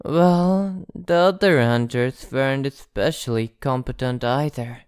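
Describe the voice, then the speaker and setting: A man playing an ancient, possessive vampire in an ASMR roleplay, speaking in a theatrical, condescending, teasing and softly threatening way. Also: mid-range voice, a little slow, clean tone, no echo, clean studio sound, close to an everyday voice. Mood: nostalgic, mocking.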